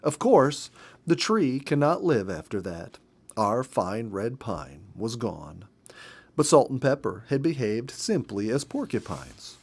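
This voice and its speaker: Man reading aloud with lively intonation. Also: medium pitch, slow pace, breathy edge. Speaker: storybook reader. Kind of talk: read-aloud storytelling from a nature memoir.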